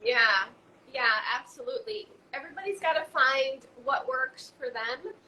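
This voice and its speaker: Bright-voiced woman from Hungary, halting. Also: high-pitched, animated, a little hiss or hum, poor audio. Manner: halting, many fillers and restarts. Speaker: guest, raw food author. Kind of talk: casual conversation.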